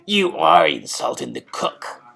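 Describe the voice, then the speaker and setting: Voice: mid-range voice, wildly swooping pitch, unhurried, vocal fry, pitched above the performer's own voice, full character voice. A reader voicing a furious, bullying older headmistress from a children's storybook, with a harsh, commanding, menacing delivery. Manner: commanding, menacing, shouted emphasis.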